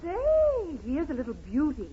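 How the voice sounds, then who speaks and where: High-voiced chirpy saleslady. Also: huge pitch swings, measured pace, crowd noise, exaggerated voice. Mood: coaxing. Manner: chirpy, coaxing sales patter. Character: eager, polite adult saleslady in a toy shop. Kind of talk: 1930s radio comedy acting. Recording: muffled old 1930s radio recording.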